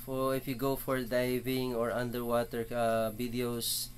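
A middle-aged man with a Filipino accent, casually narrating an unboxing at a table indoors. He talks haltingly, with many fillers, and mixes English with Filipino. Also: mid-range voice, completely flat delivery, a little hiss or hum. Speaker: motovlog host.

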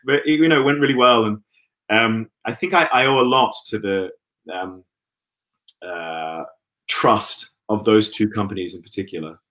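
A man in his thirties from Western Australia, a guest on a video call, talking in an easygoing, hesitant way with many pauses and restarts. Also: lowish voice, a bit bright. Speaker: guest, opera singer. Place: indoor room at home. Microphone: laptop webcam on video call.

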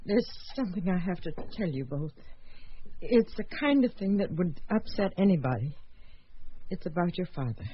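A woman's voice: lowish voice, lively intonation, moderate pace, husky. An actress playing a wired, dramatic middle-aged mother in a radio drama. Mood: tense. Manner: dramatic, agitated. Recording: muffled with faint background noise.

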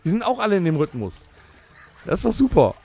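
A man's voice: high-pitched, huge pitch swings, fast, darkish tone, faint music behind. A man explaining things pedantically in casual podcast banter, often restarting his sentences. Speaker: podcast host. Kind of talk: casual banter in a podcast radio-play special.

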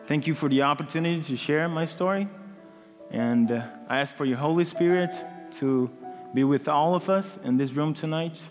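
A young man in his twenties with a Brazilian accent, a native Portuguese speaker, giving a personal testimony to a congregation in an earnest, halting way with many fillers, on a headset mic in a large church hall. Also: fairly high pitch, faint music behind. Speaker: student speaker.

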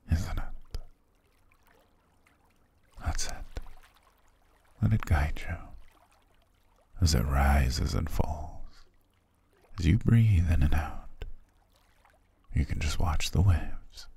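A man playing a sly, alluring male siren in an ASMR roleplay, speaking softly and coaxingly in a husky, half-whispered voice. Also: very deep voice, exaggerated voice. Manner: slow, soft, coaxing. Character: alluring male siren posing as grateful castaway, soothing, sly.

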